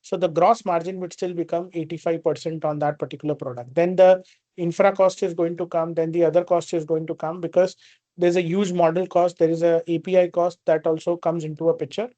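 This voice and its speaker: Higher-pitched Indian man explaining business. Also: level tone, brisk, dark tone, dry recording, lo-fi sound. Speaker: company executive answering investor questions. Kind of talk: earnings call question and answer.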